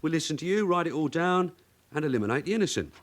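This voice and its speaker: An actor playing a shrewd, weary veteran detective sergeant in a radio drama, with a London accent and a blunt, sardonic, authoritative way of questioning. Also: male voice, on the high side, animated, quick pace, slight background noise, close to an everyday voice. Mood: sardonic, needling. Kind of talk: naturalistic radio drama acting.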